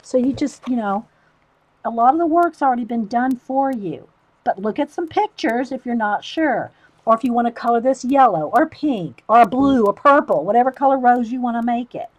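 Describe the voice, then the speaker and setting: Lady with a loud voice, kinda high-pitched, rambly chat to her stream, dry close mic. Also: lively intonation, normal speed, dry recording, low-quality recording. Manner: rambling, talks to chat viewers by name. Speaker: livestream host coloring for viewers. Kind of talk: casual chatty tutorial.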